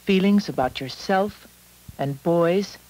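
A woman reading scripted narration for an educational film in a measured, instructional manner. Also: on the low side, heavy background noise.

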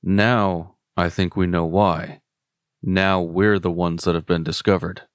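Deep, grave guard captain. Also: male voice, little pitch movement, clear, resonant tone, no echo, studio quality. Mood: grave alarm. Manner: grave, measured. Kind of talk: full cast fantasy audio drama.

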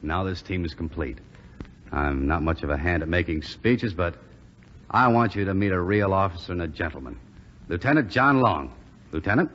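An actor playing a steady, good-natured young American bomber pilot in a 1940s radio drama. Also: male voice, deep voice, normal speed. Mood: commanding. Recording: muffled, hissy 1940s radio recording, hall reverb.

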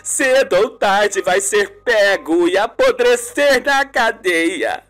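A man's voice: high-pitched, animated, slow pace, a bit bright, soft background music, heavily acted. Man voicing a tearful, vengeful mother in mourning. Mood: bitter, pleading. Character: grieving, angry middle-aged mother of a murdered actress. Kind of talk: amateur radio soap opera acting.

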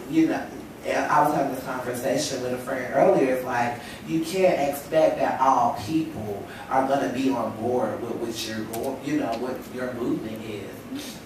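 A woman's voice: a bass voice, moderate pace, darkish tone, slight background noise. Stuffy-voiced young woman in an echoey hall. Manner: emphatic, rhetorical, lots of "you know". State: stuffy from a cold. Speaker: panel speaker. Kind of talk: impassioned panel talk. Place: lecture hall stage at a table. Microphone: table stand mic. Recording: echoey hall, mic from far off.

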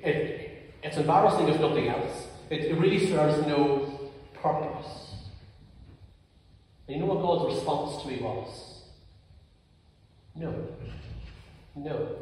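A young man from Northern Ireland with a stammer preaches an earnest, personal sermon. He pauses slowly on key words, and his voice sits a little high. He is heard from a distance in a large church that gives him a long, ringing echo. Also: a little mellow. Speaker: clergyman. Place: large church sanctuary, indoors. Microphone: far camera. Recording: echoey church from far off.

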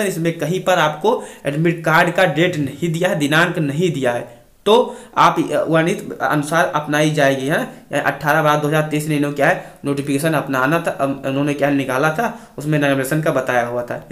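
High-voiced young man, echoey room. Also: a bit bright. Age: young adult. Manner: instructive, repeats key points. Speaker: exam-news YouTuber and coach. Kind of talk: informal explainer addressed to exam aspirants.

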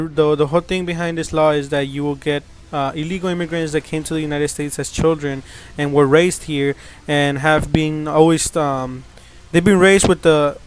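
Smooth higher-voiced young man, rambling. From Mexico. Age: young adult. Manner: rambling, earnest, many fillers and restarts. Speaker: gaming channel host. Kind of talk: casual commentary over gameplay.

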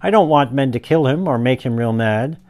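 A man's voice: medium pitch, normal speed, natural voice. A man doing an eager little girl. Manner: pleading, excitable. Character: eight-year-old girl, eager and soft-hearted.

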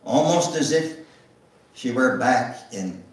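An older man giving a dramatic memoir reading in a deliberate, theatrical storytelling manner. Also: mid-range voice, lively intonation. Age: older, 70s or above. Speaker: guest speaker reading aloud. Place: church sanctuary, at a lectern. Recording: echoey room, recorded from far off.

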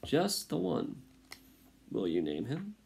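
A man's voice: medium pitch, huge pitch swings, slow pace, creaky, darkish tone, voice pushed up, exaggerated voice. Man as a blunt, gloomy father mouse. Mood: grim, insistent.

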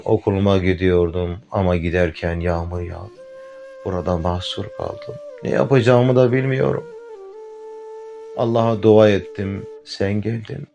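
A man's voice: on the low side, level tone, a little slow, mellow, lo-fi sound, soft background music. A man voicing an anxious little schoolchild ant. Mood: earnest. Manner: pleading, anxious and heartfelt. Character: small earnest schoolchild ant, worried and polite.

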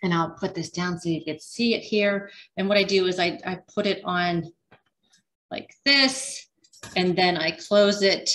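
A woman's voice: lowish voice, measured pace, a bit bright, slight background noise. Chatty woman giving a cooking demo. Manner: chatty, rambling, frequent ums and restarts. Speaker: dietitian cooking instructor. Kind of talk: casual cooking demonstration.

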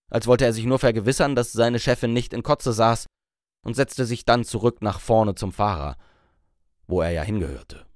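A man's voice: lowish voice, dry recording, clean studio sound. A man reading a story aloud as an audiobook narrator in scripted storytelling style.

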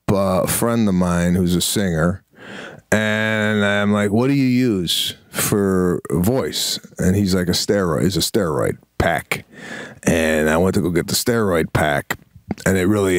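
A man's voice: low-pitched, no room sound. A middle-aged male comedian co-hosting a podcast, telling stories animatedly in casual talk, acting out quotes and calling people bro, on a handheld mic in a small studio. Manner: animated, acts out quotes, repeats words, calls people bro. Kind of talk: casual storytelling.

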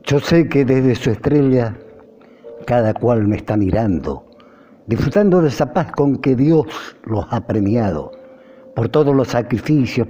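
An older Argentine man reciting a nostalgic poem in a measured, declamatory way, with phrases that rise and fall. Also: mid-range voice, mellow, slight background noise. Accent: Argentine Spanish. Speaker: poetry reciter. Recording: dull lo-fi recording with music. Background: music behind the voice.

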